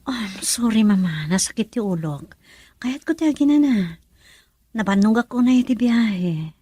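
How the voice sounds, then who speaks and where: A high, swooping young woman's voice, close and dry, playing a radio drama daughter in love. She is evasive and stubborn in her manner. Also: expressive, normal speed, dark tone, breathy edge, no room sound. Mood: reluctant, apologetic.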